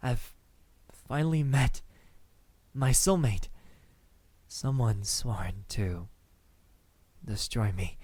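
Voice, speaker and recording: A man playing a lonely, centuries-old vampire suitor in an ASMR roleplay, speaking softly and haltingly with tender words that slip into whispers. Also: mid-range voice, animated, dry recording. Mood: adoring, pleading. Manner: soft-spoken, halting, tender, slipping into whispers.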